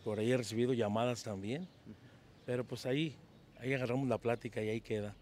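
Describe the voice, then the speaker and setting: A man's voice: mid-range voice, fairly even intonation, fast, darkish tone, slight background noise. Humble Mexican man in his fifties. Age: 50s. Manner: humble, hesitant, repeats words. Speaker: interviewee, local singer. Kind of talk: casual street interview. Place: town plaza outdoors at dawn. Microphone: handheld mic held by interviewer.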